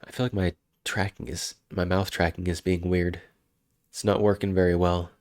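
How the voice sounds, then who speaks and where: A man's voice: low voice, little pitch movement, moderate pace, darkish tone, no room sound, studio quality. A man with a head cold, sounding congested, chatting casually and rambling on a live stream. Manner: rambling, self-interrupting, chuckling.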